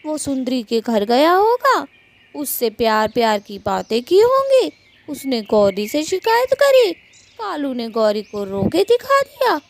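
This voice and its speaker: A woman's voice: sits high, sing-song, measured pace, full character voice. A woman voicing an exasperated, sympathetic, gossiping female bird friend in a Hindi cartoon story.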